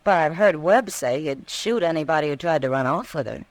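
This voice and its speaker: An American actress playing a frank, worldly saloon woman of the Old West in a radio drama, familiar and expressive. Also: sits low, fairly quick, no echo. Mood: surprised, frank. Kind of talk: 1950s radio drama acting.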